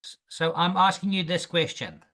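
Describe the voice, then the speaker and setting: A man teaching an English lesson, speaking slowly and patiently and repeating phrases for a student to copy. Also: sits high, expressive, pushed and bright. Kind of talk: language lesson drills.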